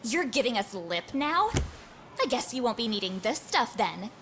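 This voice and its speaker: A haughty teenage girl bully. Her voice is high and piercing, swinging with contempt. She snaps at everyone around her. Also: very animated, moderate pace, fairly bright tone, slight background noise. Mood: taunting. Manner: snappish, contemptuous. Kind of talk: English video game voice acting.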